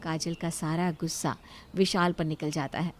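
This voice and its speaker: A woman's voice: low voice, level tone, moderate pace, faint music behind. Woman narrating a family story.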